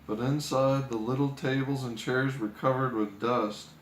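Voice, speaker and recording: A man reading a children's fairy tale aloud in an expressive storytelling style. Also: mid-range voice, a little slow. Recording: echoey room with background noise.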